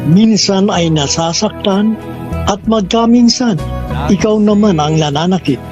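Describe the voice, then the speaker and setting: Male radio drama narrator: high-pitched, solemn, sentimental monologue style, music bed. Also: a little slow, dull audio.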